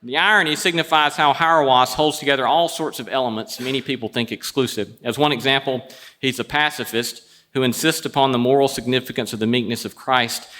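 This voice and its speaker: A man hosting a show, telling prepared stories and introductions in a wry, deliberate way. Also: medium pitch, bright, forceful tone. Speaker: show host introducing a guest. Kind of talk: prepared storytelling introduction before an audience.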